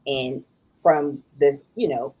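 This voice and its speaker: A woman co-hosting a podcast, talking in a thoughtful, halting way with many restarts. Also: very deep voice, dark tone, slightly rough. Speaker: podcast co-host. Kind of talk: casual chat.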